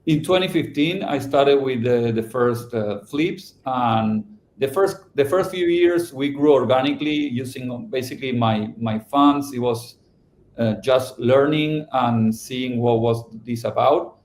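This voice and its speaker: A man's voice: mid-range voice, a little mellow, slight background noise. Guy, mid-age, podcast interview, lots of restarts and uhs, voice bouncing around an echoey room. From Argentina. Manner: halting, with many restarts and fillers. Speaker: company founder and CEO.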